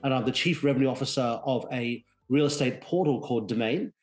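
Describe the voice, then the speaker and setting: A man in his forties who grew up in Australia, speaking as an interview guest over a remote call, quick and full of restarts. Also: mid-range voice, a little mellow. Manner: quick, restarts often, chuckles. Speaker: guest, real estate executive. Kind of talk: conversational interview. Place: home in Sydney.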